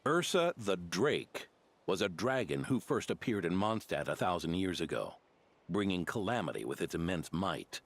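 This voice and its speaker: An actor playing a grave, weathered older knight commander in a video game, speaking in a solemn, measured way. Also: male voice, very animated, heavily acted. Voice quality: deep, gravelly.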